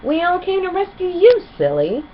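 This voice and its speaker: Woman doing a very high, bubbly hyper pony. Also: normal speed, highs cut off, a little hiss or hum, heavily acted. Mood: cheerful. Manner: excitable, gushing exclamations. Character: bubbly, hyper young female pony, cheerful and silly.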